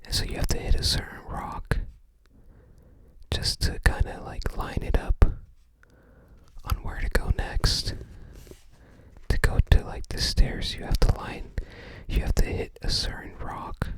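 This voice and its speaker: An ASMR creator speaks entirely in a soft whisper. The pace is very slow, with thoughts arriving in short, tentative fragments. The delivery is casual and rambling, like informal browsing commentary.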